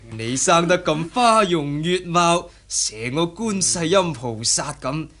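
An actor playing a greedy, leering fake monk, grudging and oily, in Hong Kong Cantonese radio drama. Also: male voice, a little high, expressive, takes it slowly, bright, forceful tone, full character voice. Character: greedy, leering bandit posing as temple monk. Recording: faint background noise, old radio drama.

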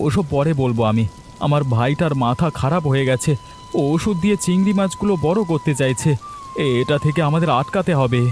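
A man's voice: a little high, expressive, a little fast, a little mellow, a little hiss or hum, natural voice. A man playing an upright, worried village shrimp farmer in a Bengali cartoon, earnest and urgent in manner.

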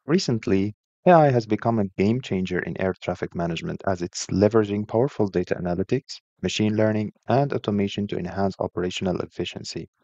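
Low-voiced man narrating, slightly muffled. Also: quick pace, few pauses, warm tone, no echo. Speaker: presenter. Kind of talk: scripted explainer narration.

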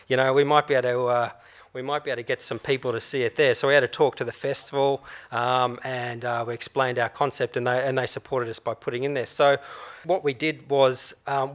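A man's voice: medium pitch, fairly bright tone. Man explaining a project. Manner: explanatory, hesitant with "uh"s. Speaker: media producer. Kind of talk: conference talk. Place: conference stage, indoors.

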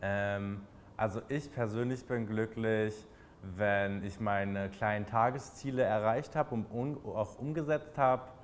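A man in his twenties with a Berlin accent, answering interview questions in a relaxed, chatty way with many fillers. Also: fairly low pitch, unhurried, over music. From Berlin, Germany.

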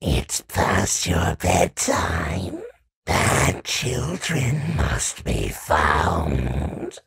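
A man playing a sinister moon-faced robot villain in a video game, slowly taunting in a low, gravelly, menacing sing-song. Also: huge pitch swings, fairly bright tone, exaggerated voice.

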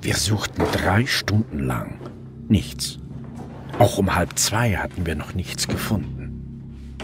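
An actor playing a brooding middle-aged police detective, narrating his case in a measured way for a crime radio drama. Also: low voice, lively intonation. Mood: tense, measured. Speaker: narrator and lead investigator. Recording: music bed under voice. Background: music.

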